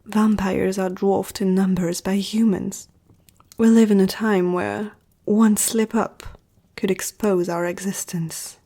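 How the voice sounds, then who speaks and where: A woman playing an ancient, powerful vampire aristocrat in an ASMR roleplay, poised, intimate and seductive, speaking in a breathy half-whisper. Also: mid-range voice, fairly even intonation, slow, mellow. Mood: measured, persuasive.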